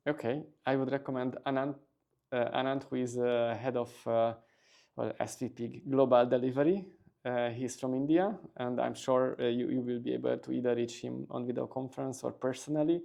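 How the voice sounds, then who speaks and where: A man's voice: medium pitch, steady tone, fairly quick, creaky, mellow. A middle-aged man, a company executive giving a casual interview, talking steadily with many fillers and restarts.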